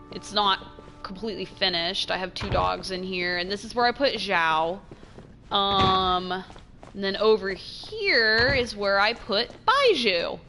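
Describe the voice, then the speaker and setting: A woman giving casual, chatty gameplay commentary as a streamer, expressive and trailing off into asides. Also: mid-range voice. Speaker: game streamer.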